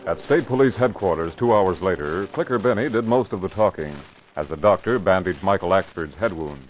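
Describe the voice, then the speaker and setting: A man narrates an old radio drama in a measured, dramatic way. His voice is very low and dark. The sound is dull and lo-fi, like an old broadcast. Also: animated, slight background noise.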